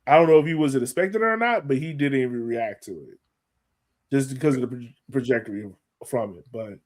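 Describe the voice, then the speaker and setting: A man's voice: medium pitch, animated, brisk, darkish tone. An American man co-hosting a sports podcast, analyzing a match in a colloquial, emphatic way and repeating points for stress. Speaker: podcast co-host.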